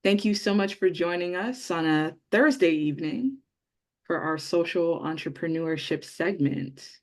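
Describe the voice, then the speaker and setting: A man's voice: fairly high pitch, unhurried. A man hosting an online webinar, upbeat and welcoming as he introduces a guest. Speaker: webinar host. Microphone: video call.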